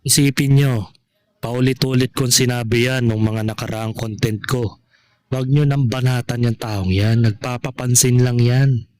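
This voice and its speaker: Slow-talking man giving casual commentary. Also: mid-range voice, steady tone, a little mellow. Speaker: vlogger commenting on online gossip.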